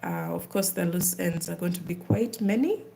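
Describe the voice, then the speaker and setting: A woman's voice: fairly low pitch, normal speed, gravelly, warm tone, slight background noise. A woman with a Ugandan accent, chatting casually on a live stream while she does craft work.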